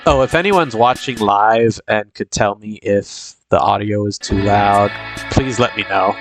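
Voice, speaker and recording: A man's voice: lowish voice, measured pace, music in the background. A young man with a sniffly, congested voice from a cold, chatting casually as a live-stream host in a rambling way full of fillers and restarts.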